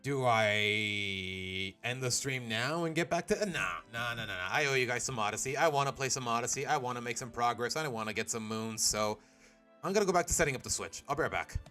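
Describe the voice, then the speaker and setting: A man's voice: mid-range voice, lively intonation, fairly quick, sharp tone, clean tone, faint music behind. A man live-streaming a video game, chatting casually to his viewers and thinking aloud as he plays. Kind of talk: casual live-stream chat while playing.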